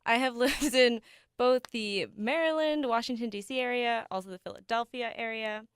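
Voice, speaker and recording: A woman's voice: a little high, normal speed, clean tone, no echo, clean studio sound. A young woman, an ice skater raised bilingual in Denmark and the States, answering radio interview questions in an upbeat, eager way with frequent laughs. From United States and Denmark. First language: bilingual English and Danish. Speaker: ensemble ice skater, guest.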